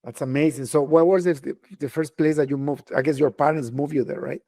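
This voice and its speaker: A man's voice: a little high, steady tone, brisk, warm tone, no echo, clean studio sound. A man from North America hosting an interview podcast, friendly and curious, with frequent restarts. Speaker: podcast host. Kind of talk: casual interview chat.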